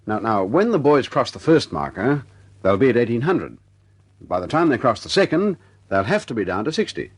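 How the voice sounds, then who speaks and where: A husky male voice as a keen, practical squadron officer in a wartime radio drama. His pitch moves about freely as he speaks in an earnest, respectful, slightly halting way. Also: very animated. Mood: eager, respectful.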